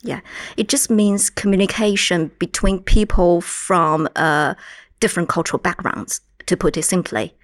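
Lower-voiced woman with a Mandarin Chinese accent. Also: no echo, clean studio sound. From China. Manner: measured, explanatory. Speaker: guest linguistics researcher. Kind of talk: podcast interview.

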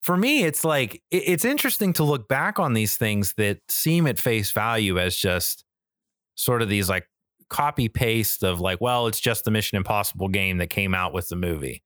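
A man's voice: on the low side, lively intonation, moderate pace, a bit bright, no echo, studio quality. A man co-hosting a podcast in casual, enthusiastic chat, swearing freely and often checking in with "right?". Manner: enthusiastic, profane, peppered with "like" and "right?". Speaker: podcast co-host. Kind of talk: casual podcast chat about games and films.

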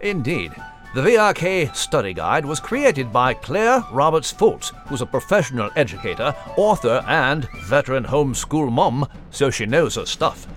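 A man voicing a courteous, inquisitive little gentleman mouse with a British accent and a fussy, proper manner. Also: medium pitch, sing-song, normal speed, soft background music. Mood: informative.

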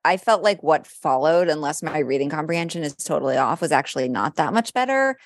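Low-voiced woman, dry and close. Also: lively intonation, fairly quick, no echo. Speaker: podcast co-host. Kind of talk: casual chat about horoscopes.

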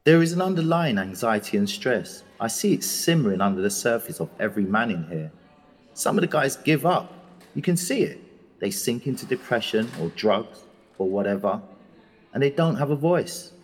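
A bitter, outspoken English prisoner speaks with a husky, low voice in an audio drama. His pitch swings widely and a long reverb hangs behind him. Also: big pitch swings, normal speed. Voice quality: bitter, urgent.

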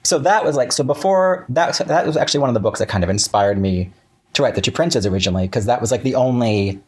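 A man being interviewed as a writer, chatting casually in a wry, halting way with self-interruptions and acted-out asides. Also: mid-range voice, expressive, a little mellow, breathy edge.

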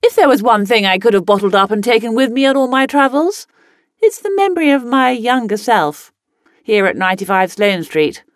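A woman's voice: a little high, moderate pace, no echo, studio quality, natural voice. An actress playing a spirited, headstrong upper-class lady explorer who looks back in a genteel, emphatic dramatic monologue. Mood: wistful, tender. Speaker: actor reading a monologue. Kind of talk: scripted first-person dramatic monologue.

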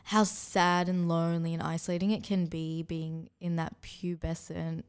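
A woman's voice: fairly low pitch, little pitch movement, normal speed, smooth. A woman co-hosting a radio interview, thoughtful and chatty. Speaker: radio co-host.

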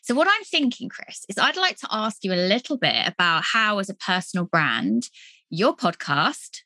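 Woman, podcast host, animated pitch, bright playful voice, dry. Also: dry recording. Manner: upbeat, playful, quick with short affirmations. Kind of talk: casual interview talk.

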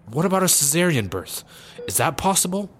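An actor playing an anxious, devoted best buddy in a comedy audio drama, fretful and supportive. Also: male voice, fairly high pitch, big pitch swings, a little fast, fairly bright tone, slightly rough, heavily acted.

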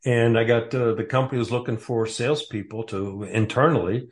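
A man's voice: mid-range voice, steady tone, brisk. A man in his sixties from the Detroit area, a guest author answering interview questions casually and hesitantly, with many fillers. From Metro Detroit area, USA.

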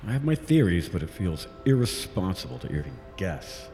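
A man's voice: sits low, very animated, normal speed, mellow, faint music behind. A man playing a cautious, wry scientist in charge of a team in an audio drama. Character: cautious, dry-humoured male scientist team leader. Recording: long reverb, faint background noise.

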